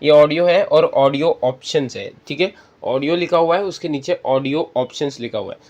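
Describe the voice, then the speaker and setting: A man's voice: a little high, normal speed, darkish tone, lo-fi sound. A man hosting an app tutorial, explaining step by step and addressing viewers as friends. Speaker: tutorial host. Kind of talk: spoken screen-reader app tutorial.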